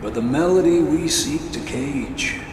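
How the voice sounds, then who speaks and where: Here is a menacing, scholarly old spirit played by a man from a video game. He speaks very deliberately and with grave theatricality, as if every word were a dark lesson. The voice rings with roomy echo over noisy ambience. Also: fairly high pitch, natural voice. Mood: cold, foreboding. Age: older. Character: cryptic, menacing, scholarly old male lost warrior spirit. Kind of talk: scripted dramatic game voice acting.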